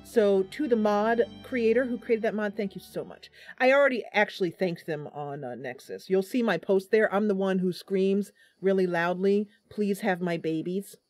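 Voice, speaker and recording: A woman's voice: medium pitch, normal speed, hardly any pauses, a little mellow, dry recording, faint music behind. A woman giving casual live commentary as she plays and narrates a roleplaying game. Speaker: game streamer.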